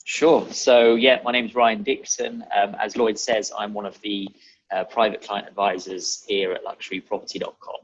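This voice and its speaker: A man's voice: on the low side, level tone, normal speed, slight background noise. A young British man chatting casually as a podcast guest over a video call. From England and Germany. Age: young adult. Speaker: podcast guest, real estate advisor. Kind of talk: casual conversational interview. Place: bright room at home, indoors. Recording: dull, muffled video-call audio.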